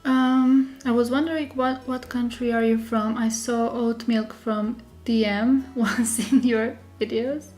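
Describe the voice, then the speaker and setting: A woman from Serbia with a Serbian accent, slightly under the weather, chatting casually and haltingly as she hosts a live tutorial. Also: on the high side, steady tone, a little mellow, soft background music. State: slightly unwell with a sore throat. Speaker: digital journaling creator hosting a live stream.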